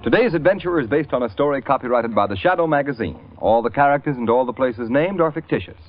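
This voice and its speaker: A male announcer of the old radio era reads formally and emphatically. His mid-pitched voice is animated, on a slightly muffled broadcast with prominent music. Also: quick pace. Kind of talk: scripted 1940s radio announcing.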